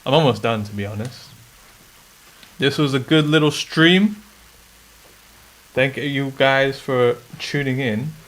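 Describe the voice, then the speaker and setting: A man's voice: mid-range voice, slow, long pauses, fairly bright tone, low-quality recording. A man chatting casually on a live stream while coding a game, muttering his code aloud. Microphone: desk streaming mic. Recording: faint background noise, keyboard typing.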